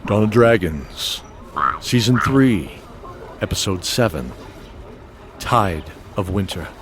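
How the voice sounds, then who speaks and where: Low-voiced man narrating fantasy fiction. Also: animated, takes it slowly, slight background noise. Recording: clear music bed behind voice.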